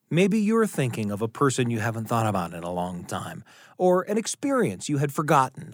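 A man hosting a radio storytelling show, narrating in a reflective, conversational way with deliberate phrasing. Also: mid-range voice, no room sound, clean studio sound.

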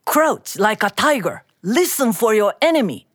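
A woman voicing a gentle, worried husband in a folktale, earnest and deliberate. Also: fairly high pitch, sing-song, dry recording, clean studio sound. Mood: commanding. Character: gentle, worried Chinese husband and cricket trainer.

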